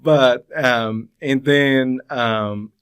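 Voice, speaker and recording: A man in his forties from Brazil, speaking English with a Brazilian Portuguese accent, talking as a guest in an interview, thoughtful with many pauses and fillers. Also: medium pitch, a bit bright, smooth. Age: 40s. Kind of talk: casual interview conversation.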